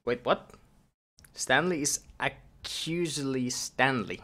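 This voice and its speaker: A man streaming a game, hoarse from losing his voice, musing aloud in a puzzled, casual way. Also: medium pitch, takes it slowly, fairly bright tone. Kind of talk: casual live stream commentary.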